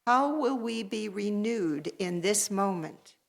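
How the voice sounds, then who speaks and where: A woman leading a worship service, reading and announcing in a measured, ceremonial way. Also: medium pitch, animated, creaky. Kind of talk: liturgical reading and announcements to a congregation.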